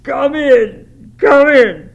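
A man voicing a brooding, gruff, tormented landlord who is curt and volatile, in a dramatised novel reading. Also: mid-range voice, expressive, moderate pace, fairly bright tone, dull audio, voice pushed up. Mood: anguished sobbing. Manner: curt, commanding, scornful, emotionally volatile.

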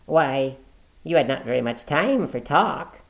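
A man voicing an eccentric, excitable gentleman naturalist who is watchful and wary, in an audiobook reading. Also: on the high side, unhurried, gravelly, voice pushed up. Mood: probing.

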